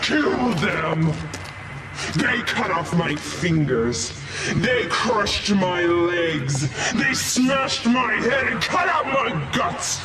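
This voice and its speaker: A man's voice: high-pitched, sing-song, very noisy, exaggerated voice. A man voicing a tortured, vengeful prisoner's ghost in a horror game, wailing through a ghostly echo. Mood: agonized rage. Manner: drawn-out, wailing shouts. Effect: heavy ghostly echo. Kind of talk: theatrical horror game voice acting. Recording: distant, echoing, under loud music.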